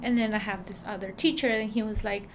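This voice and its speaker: A woman with a Mexican accent who works at a school, answering interview questions in a halting way, with many fillers and restarts. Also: medium pitch, steady tone, slight background noise. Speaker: school staff member.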